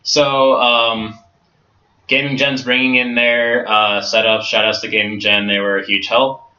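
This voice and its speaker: A man with a bright, forceful voice explains things step by step as a podcast guest, pausing on ums. The sound is roomy and slightly dull. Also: mid-range voice, flat delivery. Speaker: podcast guest and tournament staffer. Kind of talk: casual podcast talk.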